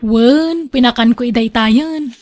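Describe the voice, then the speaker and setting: A practical, nagging housewife played by a woman in a radio drama. She has a high-pitched voice and an Ilocano Filipino accent, with an exasperated, scolding manner. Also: slow pace, a little mellow. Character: thrifty, nagging wife and mother, practical and exasperated.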